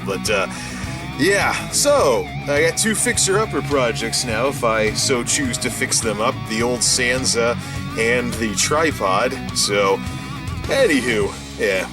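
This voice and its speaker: A man talking off the cuff as a gadget vlogger, rambling and wry with playful asides. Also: medium pitch. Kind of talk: casual off-the-cuff product demo. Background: music playing from speakers at times.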